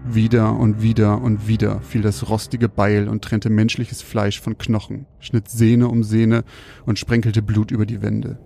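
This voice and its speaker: A man with a slightly creaky voice, hosting and telling stories on a podcast. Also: on the low side, completely flat delivery, unhurried, mellow, slight background noise. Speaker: podcast host and storyteller. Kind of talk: casual banter and scripted horror storytelling.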